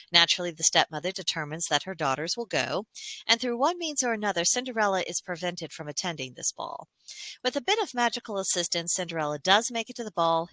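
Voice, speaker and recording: A woman host giving reflective commentary in a measured, articulate and explanatory way. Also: lowish voice, pushed and bright, dry recording. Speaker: host of a fairy tale commentary series.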